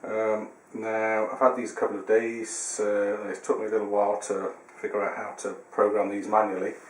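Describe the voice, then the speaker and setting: A man's voice: fairly low pitch, completely flat delivery, a little fast. A man presenting a casual how-to demonstration, explaining step by step in a hesitant way. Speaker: tutorial presenter. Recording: roomy, distant mic, faint noise.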